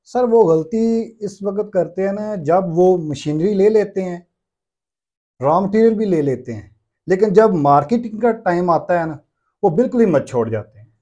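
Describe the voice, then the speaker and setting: A man's voice: high voice, animated, normal speed, warm tone, muffled recording. A man in his thirties with a Pakistani accent, answering interview questions as a small business owner. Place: small brick-walled workshop room indoors.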